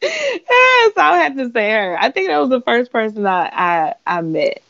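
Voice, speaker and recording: High-voiced young woman, muffled audio. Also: very animated, normal speed, creaky, fairly bright tone. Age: 20s. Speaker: gospel singer being interviewed. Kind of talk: casual chat.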